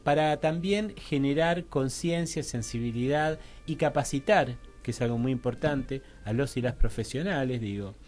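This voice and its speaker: A man with an Argentine Rioplatense accent, speaking as an interview guest in a halting, digressive way and often checking for agreement. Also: medium pitch, a little mellow, faint music behind. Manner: halting, digressive, seeks agreement with frequent tag questions. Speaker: interview guest, social researcher. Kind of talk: informal radio interview explanation. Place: radio studio.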